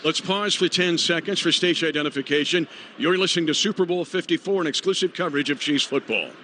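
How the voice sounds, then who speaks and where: A high-voiced American man works as a radio play-by-play announcer for football. His phrasing is clipped and urgent, delivered over clear stadium noise on a live broadcast. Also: fast talker, sharp tone.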